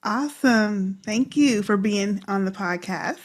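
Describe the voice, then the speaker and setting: A woman's voice: medium pitch, unhurried. A woman hosting a fan podcast, welcoming her guests with short, encouraging remarks. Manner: encouraging, brief affirmations. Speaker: podcast host. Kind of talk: casual podcast chat.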